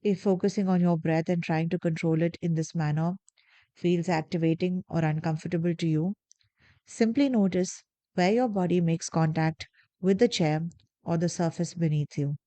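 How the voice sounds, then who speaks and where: A woman guiding a body scan meditation, giving slow, soft, calm instructions with long pauses. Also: lowish voice, dry recording. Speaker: meditation guide. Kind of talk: guided meditation.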